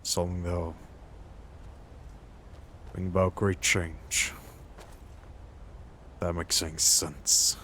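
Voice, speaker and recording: Man playing a low-voiced, musing fantasy mercenary. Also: little pitch movement, bright, forceful tone, a little hiss or hum, heavily acted. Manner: musing, halting, reflective. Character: earnest, wistful mercenary adventurer in his thirties. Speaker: role-playing gamer voicing his character. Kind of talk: in-character fantasy game monologue.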